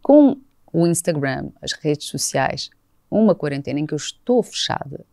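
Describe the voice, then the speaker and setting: A woman in her forties with a Portuguese accent that drifts into Brazilian talks animatedly as a podcast guest. Her voice sits a little low. She is recorded close on a studio mic, dry and free of room sound. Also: moderate pace, creaky, dry recording. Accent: Portuguese, shifting toward Brazilian Portuguese. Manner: animated, gestures with her hands, does mock voices for quotes. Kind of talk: casual conversational interview. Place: cosy caravan studio set. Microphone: studio mic on a boom arm, with headphones.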